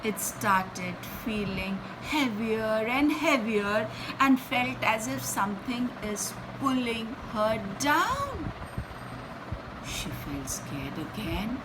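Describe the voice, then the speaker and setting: A woman reading a children's story aloud in an expressive, dramatic and teacherly way, pausing between short phrases and repeating words for effect. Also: mid-range voice, noisy background.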